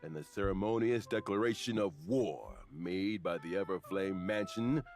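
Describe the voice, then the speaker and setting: A man voicing an adult male game character who narrates the story in an expressive way. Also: sits low, moderate pace. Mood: grave telling. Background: faint game music.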